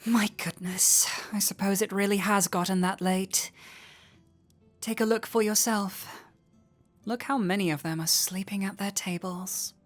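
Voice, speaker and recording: A woman playing a flirty, warm-hearted tavern innkeeper in an intimate roleplay audio drama, teasing coyly and playfully. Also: mid-range voice, fairly even intonation, soft background music, natural voice. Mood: soft, reluctant. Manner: coy, playful, unhurried teasing.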